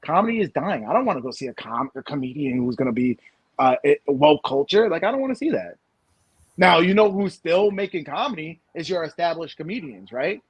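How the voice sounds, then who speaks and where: A man in his thirties from the United States, co-hosting a casual podcast chat, animated and rambling with lots of fillers and restarts. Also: on the high side, brisk, breathy edge, no echo, highs cut off. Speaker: podcast co-host.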